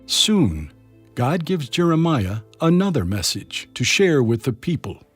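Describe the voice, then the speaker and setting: Slightly husky, animated male storyteller. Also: mid-range voice, unhurried, faint music behind. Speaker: narrator. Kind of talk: dramatic scripted storytelling for children.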